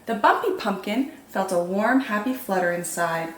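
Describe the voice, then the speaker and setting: A woman hosting storytime, reading picture books in an expressive, animated way for young children. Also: medium pitch, normal speed, echoey room. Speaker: children's storytime host and reader. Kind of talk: expressive picture-book narration.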